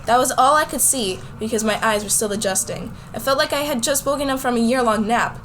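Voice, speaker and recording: A woman voicing a bold, competitive high school girl telling her own story in a casual, wry way in a radio drama, with some background noise. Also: brisk, a bit bright, natural voice. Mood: narrating. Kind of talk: scripted first-person radio drama narration.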